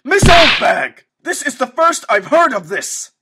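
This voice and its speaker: A man playing a suave, formal prosecutor who is smug but easily exasperated, husky-voiced, in an amateur fan dub of a game. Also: extremely high pitch, sing-song, a little slow, pushed and bright, heavily acted. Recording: faint music behind the voice.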